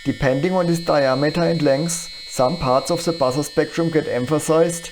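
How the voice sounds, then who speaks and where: A man presenting an electronics tutorial in scripted, explanatory narration with a measured, instructional manner. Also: fairly high pitch, steady tone, background noise.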